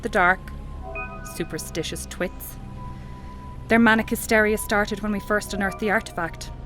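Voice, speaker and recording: A woman's voice: medium pitch, fairly even intonation, moderate pace, fairly bright tone, faint music behind, close to an everyday voice. A woman playing a brusque, defensive archaeology professor in an audio drama, speaking formally and dismissively. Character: renowned female archaeology professor, brusque, defensive, obsessed with her find.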